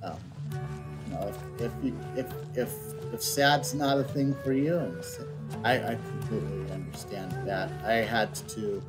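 A middle-aged man livestreaming a game, chatting casually in a rambling, halting way and reading out on-screen text. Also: on the low side, low-quality recording. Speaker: game streamer. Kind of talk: casual livestream chat and read-out. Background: game music.

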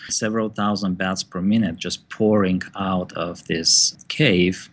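Brief: scientist, very low husky voice, measured interview answers over soft music. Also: male voice, fairly even intonation. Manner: thoughtful, measured, pauses with fillers. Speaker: bat biologist.

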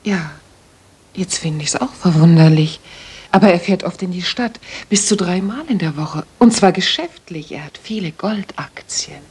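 Low-voiced, worried young lady. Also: normal speed, darkish tone, breathy edge. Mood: polite, earnest. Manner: earnest, careful recounting. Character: worried, polite young lady client being followed. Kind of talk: German radio drama acting.

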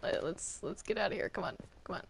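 A gamer improvising in a casual roleplay with a creaky, fry-edged voice. The delivery is hesitant, with stammers and doubled words. There is faint noise and clicking on the home recording. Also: medium pitch, lively intonation, fast talker. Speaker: player in a group game roleplay.

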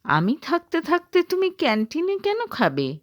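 A woman voicing a devoted, eager young village wife in an audio story, caring and gently insistent. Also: extremely high pitch, huge pitch swings, moderate pace, no echo, studio quality, voice pushed up, exaggerated voice. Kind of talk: dramatised audio story dialogue.

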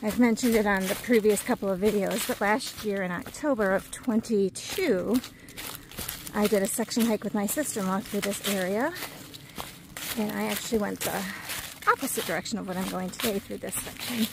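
A woman's voice: mid-range voice, normal speed, creaky, background noise. Chatty woman vlogging on a hike. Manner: chatty, upbeat, self-filming while hiking. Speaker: hiking vlogger. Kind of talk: casual trail vlog narration. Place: outdoors in mountain woods. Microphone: phone held at arm's length.

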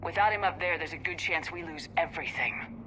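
An actress playing a determined human military commander in a video game, speaking in a firm, direct, businesslike way. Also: female voice, low voice, expressive, fairly quick, background noise. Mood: urgent, firm. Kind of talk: video game cutscene acting. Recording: battlefield ambience behind.

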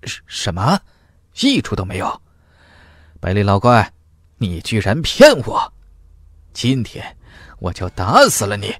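A man playing a menacing, greedy, suspicious old male cultivator in a fantasy audiobook, gruff and sneering. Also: lowish voice, huge pitch swings, slow pace, long pauses, a bit bright, no room sound, clean studio sound, heavily acted. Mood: furious.

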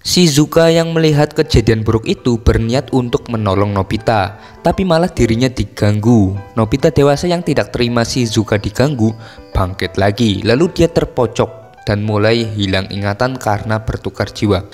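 A man's voice: medium pitch, lively intonation, normal speed, darkish tone, big-room sound. A man with an Indonesian accent narrating a story recap in a casual, scripted style. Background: music.

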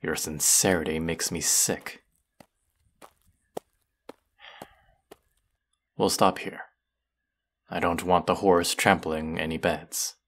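Man acting a gravelly, low-voiced vengeful fantasy villain. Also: fairly even intonation, a bit bright, heavily acted. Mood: cold mockery. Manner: menacing, sardonic, slow and deliberate. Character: vengeful, bitter male fantasy villain, menacing and grieving. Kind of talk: dramatic audio roleplay acting addressed to the listener.

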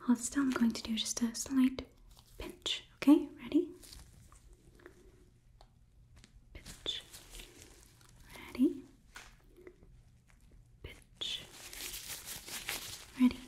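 Young woman acting as a slow, whispering robot overlord. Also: sits high, steady tone. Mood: clinical, focused. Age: 20s. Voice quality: soft, breathy whisper. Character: robot overlord woman examining a human, polite, clinical, condescending. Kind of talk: whispered ASMR roleplay. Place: small indoor studio set. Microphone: close ASMR microphone.

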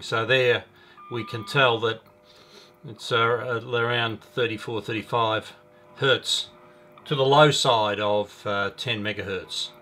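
A middle-aged man presenting a hobby video, explaining casually in a halting, unhurried way. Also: mid-range voice, bright, forceful tone, a little hiss or hum. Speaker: amateur radio hobbyist presenter. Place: small indoor radio room.

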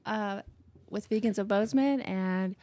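A woman in her late forties from Montana, hosting a radio talk show in an unhurried, friendly way, with many ums. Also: medium pitch, warm tone, no echo. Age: 40s. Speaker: host.

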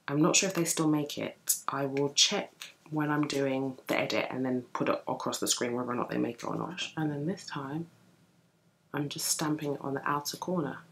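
A young woman with a deep early-morning voice, chatting through a makeup tutorial at home while she works on her eyes. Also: moderate pace, poor audio. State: just woken, deep morning voice. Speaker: beauty vlogger. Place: home room with bookshelves, indoors.

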